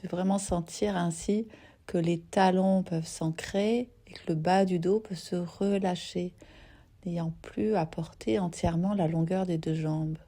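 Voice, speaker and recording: A woman teaching yoga nidra, guiding a relaxation gently and unhurriedly in short phrases with soft, breathy endings. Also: fairly low pitch, fairly even intonation, measured pace. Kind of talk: guided relaxation meditation.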